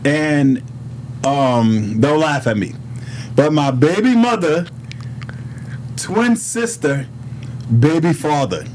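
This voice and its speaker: A man's voice: on the high side, takes it slowly, long pauses, slight background noise, poor audio. A man from Harlem with a New York accent, telling stories casually in street slang with plenty of swearing. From New York City (Harlem). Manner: animated street slang, profane, quotes dialogue. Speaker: podcast guest, rapper. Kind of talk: casual storytelling in conversation.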